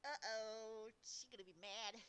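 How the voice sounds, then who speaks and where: A woman's voice: on the high side, fairly even intonation, unhurried, fairly bright tone, clear, resonant tone, soft background music. A woman streaming a game playthrough with casual, exclaiming commentary, talking to the game as she plays. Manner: giggly, exclaiming, talks to the game.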